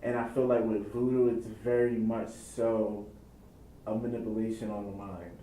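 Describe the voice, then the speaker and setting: A man's voice: steady tone, normal speed, mellow. A young man giving reflective, casual interview answers in loose, run-on thoughts. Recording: echoey room, mic at a distance, faint rumble.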